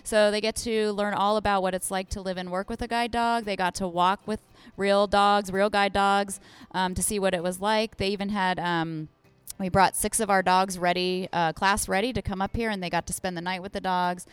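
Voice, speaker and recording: Woman, outreach manager, smooth resonant voice, enthusiastic, casual. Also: medium pitch, steady tone, normal speed, dry recording. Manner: enthusiastic, with many fillers. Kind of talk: casual chat.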